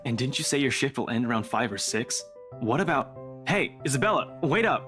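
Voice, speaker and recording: A man's voice: mid-range voice, lively intonation, fairly quick, fairly bright tone, close to an everyday voice. A man voicing a dry, teasing young male friend in a visual novel, with a casual, hesitant way of talking. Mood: concerned. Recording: game music behind the voice.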